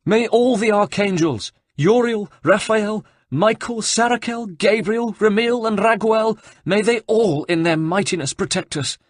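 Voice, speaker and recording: A man voicing a panicked elderly abbot, crying out in high, wailing tones. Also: quick pace, hardly any pauses, no room sound, studio quality. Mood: pleading. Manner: wailing, high-pitched cries. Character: panicked elderly male abbot, shrill and fearful.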